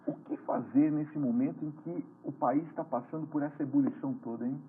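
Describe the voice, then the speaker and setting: A man's voice: fairly high pitch, a little fast. A man hosting a TV interview, asking chatty, jovial questions. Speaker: TV interview host. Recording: tinny phone-like sound with faint noise.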